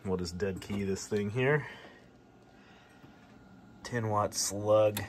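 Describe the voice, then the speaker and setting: Guy with a lowish voice showing off gear in his workshop, plainspoken, some noise behind him. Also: slow pace. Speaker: host of a radio repair video. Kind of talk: casual hands-on demonstration narration. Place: indoor home workshop. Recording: clear background noise, workshop ambience.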